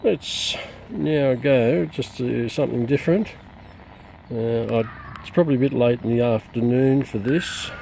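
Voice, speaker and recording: A man's voice: medium pitch, measured pace, creaky, rough recording. A middle-aged man giving an informal, unscripted hands-on radio demonstration, hesitant and muttering as he works. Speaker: radio hobbyist reviewer. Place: outdoors in a backyard. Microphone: camera mic, behind the camera. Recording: loud radio static and background hiss. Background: shortwave radio noise.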